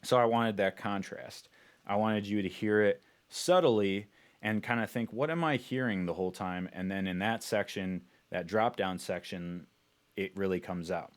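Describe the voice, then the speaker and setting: A man's voice: lowish voice, moderate pace, clear, resonant tone. A man talking casually as he explains his music production work in an informal, instructional way. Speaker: music producer presenting a tutorial.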